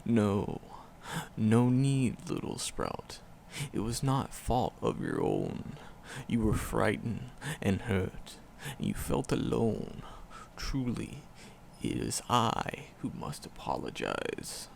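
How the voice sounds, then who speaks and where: A gravelly, lowish voice of an ancient forest demon, tender, sorrowful and protective. He tells his tale very slowly and solemnly, with big swoops in pitch, in an ASMR roleplay. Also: wildly swooping pitch, a little mellow, a little hiss or hum, heavily acted. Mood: sorrowful, regretful. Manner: slow, solemn, archaic phrasing, tender. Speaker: voice actor in audio roleplay. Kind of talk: ASMR roleplay monologue, dramatic storytelling.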